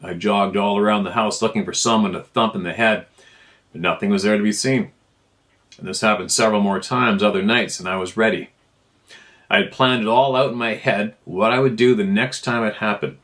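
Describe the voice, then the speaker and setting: A man's voice: fairly low pitch, steady tone, a little fast, fairly bright tone. A man reading a first-person account aloud in an informal storytelling style. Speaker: narrator reading listener emails.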